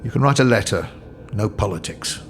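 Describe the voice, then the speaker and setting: An actor playing a brusque young British soldier in an audio drama. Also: male voice, on the low side, lively intonation, brisk, slight background noise. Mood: curt.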